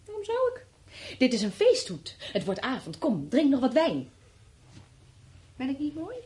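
A woman's voice: sits high, huge pitch swings, normal speed, darkish tone. An actress playing a bitter, frightened woman survivor in a radio play, brooding and sarcastic, swinging between dreamy and cutting. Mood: mocking, playful. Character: bitter, frightened, mocking woman surviving a catastrophe with her partner. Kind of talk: naturalistic radio play acting. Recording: radio play with background ambience.

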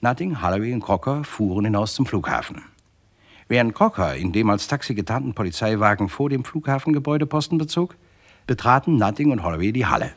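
An actor narrating a radio crime drama in a composed, dry, scripted storytelling manner. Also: male voice, fairly low pitch, lively intonation, a little fast. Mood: measured.

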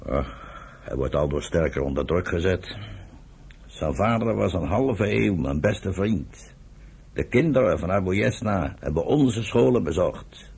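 Very deep, husky elderly father. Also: animated, a little slow, a little mellow, full character voice. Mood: grave, concerned. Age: older. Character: stern, embittered elderly father and political leader. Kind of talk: radio drama acting.

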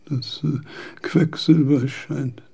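Frail old philosopher, radio drama, very dark and dull timbre, higher pitched, halting, raspy. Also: male voice, fairly even intonation, full character voice. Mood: halting, absorbed. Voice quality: raspy, creaky, breathy. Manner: halting, repeats words, long gaps. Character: frail, failing old philosopher, man near eighty.

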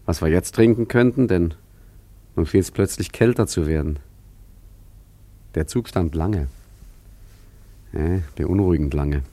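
A man's voice: deep voice, dark tone, no echo, natural voice. An actor playing an unflappable, rambling male traveller in a German radio play, hesitant and wryly correcting himself. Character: rambling, unflappable, tipsy male traveller recounting his misadventure. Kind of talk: German radio play acting, chatty first-person narration.

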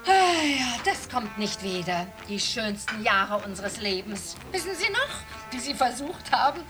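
An actress playing a coquettish middle-aged village innkeeper who gushes over a returning guest, in a radio play. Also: female voice, fairly high pitch, sing-song, unhurried, music in the background. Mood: gushing. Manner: gushing, effusive.

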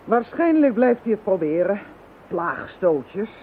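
A man's voice: high-pitched, very animated, slow pace, exaggerated voice. Een man die in een hoorspel een kalme, belerende kenner van het bovennatuurlijke speelt. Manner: lecturing, self-assured. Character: calm male occult expert, confident and wry. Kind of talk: radio play acting. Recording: lo-fi phone-band radio recording with faint hiss.

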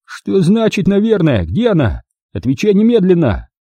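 Man acting a rowdy bellowing tough guy, pitched high. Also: huge pitch swings, brisk, dark tone, slightly rough, dry recording, full character voice. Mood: menacing. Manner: bellowing, cursing, mocking. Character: gleeful, foul-mouthed brawling tough man.

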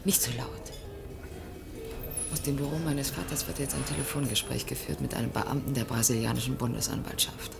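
A resolute young woman plotting in a radio drama. Her voice is deep for a woman, with a gravelly creak. She speaks briskly and with command, music clearly behind her. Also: steady tone, exaggerated voice. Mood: conspiratorial, determined. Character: bossy, determined young Brazilian conspirator, daughter of an official. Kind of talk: German radio play acting. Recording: studio radio play with prominent music.

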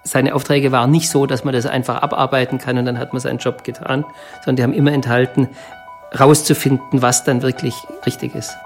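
A man's voice: medium pitch, flat delivery, fairly quick, music in the background. A man giving a thoughtful, explanatory recollection in an interview.

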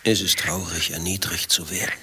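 An actor playing a vain, evasive lover in a radio play, speaking with a defensive, indignant manner. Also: male voice, low-pitched, level tone, sharp tone, a little hiss or hum. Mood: probing. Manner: defensive, indignant, quick to retort. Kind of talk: German radio play dialogue.

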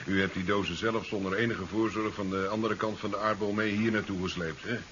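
A brisk laboratory professor in a seventies sci-fi radio drama, played by a male actor, low-pitched and wry, often tagging remarks with a little question. The old recording is muffled and noisy. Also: fairly even intonation, a bit bright, close to an everyday voice. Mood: wry, impatient. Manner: wry, chuckling, ends remarks with questioning tags. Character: brisk, sardonic male professor in his sea-side laboratory. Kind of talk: 1970s science fiction radio play acting.

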